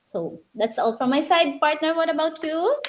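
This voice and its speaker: A friendly woman from the Philippines co-hosts a casual online chat. She speaks a little higher than most women and pauses often on "um" and "uh" as she goes. Her delivery is halting and warm in words, never hurried. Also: lively intonation. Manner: friendly, halting, many fillers. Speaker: co-host. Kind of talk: casual live-stream chat.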